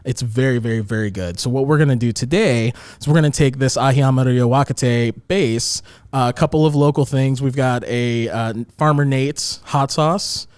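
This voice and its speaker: A man's voice: mid-range voice, moderate pace, darkish tone, smooth, no room sound. A man appearing as a chef guest on a food podcast, explaining a recipe in joking, rambling casual talk. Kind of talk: casual cooking chat.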